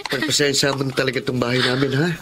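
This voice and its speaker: A man's voice: medium pitch, flat delivery, racing, very noisy, close to an everyday voice. An actor playing a humble, struggling father of three in a Tagalog radio drama, earnest and plaintive in delivery. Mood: grateful, humbled.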